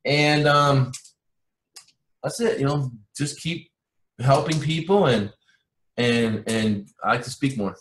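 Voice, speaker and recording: A man's voice: medium pitch, takes it slowly, long pauses, a little hiss or hum. Cuban-born guy rambling thru an interview, lots of restarts, echoey room. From Cuba. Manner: rambling, animated, many restarts. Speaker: interview guest. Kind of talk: casual interview chat.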